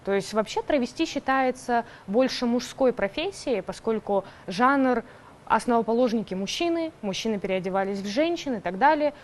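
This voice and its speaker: A young woman in her mid-twenties from Kazakhstan speaks in a somewhat high, bright-toned voice. She recounts an anecdote with lively, animated delivery during a documentary interview. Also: moderate pace. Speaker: drag performer.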